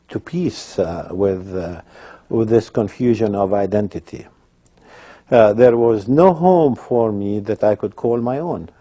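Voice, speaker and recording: Palestinian man, mellow voice, heartfelt testimony. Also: medium pitch. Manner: hesitant then forceful, heartfelt. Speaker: interviewee. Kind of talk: personal testimony in documentary interview.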